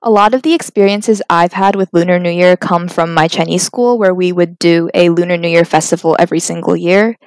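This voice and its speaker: A teenage girl from the United States, a podcast guest who talks in clear, composed full sentences. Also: lowish voice, brisk, few pauses, a little mellow, no echo. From USA. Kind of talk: casual podcast chat.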